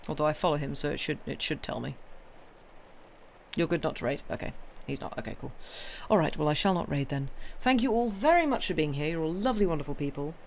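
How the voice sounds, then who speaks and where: Croaky young woman chatting. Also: deep voice, lively intonation, slight background noise. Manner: rambling, halting, full of ums and restarts; friendly and apologetic. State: croaky, hoarse voice. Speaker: livestream story reader. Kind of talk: casual chat with viewers.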